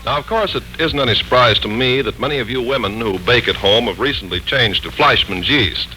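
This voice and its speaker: An American man announcing and narrating from a script in polished, deliberate 1940s radio style. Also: medium pitch, pushed and bright, soft background music, poor audio.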